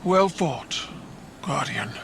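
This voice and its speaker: A woman's voice: deep voice, lively intonation, drawn-out words, noisy background, heavily acted. A woman playing a proud alien warrior empress in a video game, stern, solemn and commanding. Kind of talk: cinematic video game acting.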